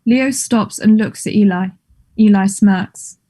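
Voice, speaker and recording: A woman narrates stage directions from a script. Her voice is very mellow and sits at an average pitch. The reading is plain and neutral, in short statements. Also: slow, breathy edge.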